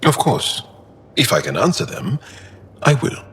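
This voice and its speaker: An actor playing an earnest, guarded male alien fighter in a video game, speaking in deliberate, formal phrases. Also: mid-range voice, sing-song, fairly bright tone, a little hiss or hum. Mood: warm, amused. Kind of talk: video game dialogue acting.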